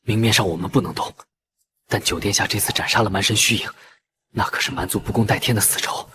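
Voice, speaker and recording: A man playing a calculating court schemer in an animated palace drama, formal and insinuating. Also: lowish voice, fast talker, slight background noise. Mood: conspiratorial. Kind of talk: Chinese animated web drama voice acting.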